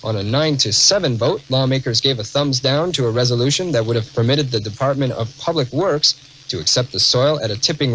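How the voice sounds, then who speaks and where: A man's voice: mid-range voice, fairly bright tone. American radio newsman on faintly noisy tape. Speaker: radio news reporter. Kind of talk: scripted radio news bulletin.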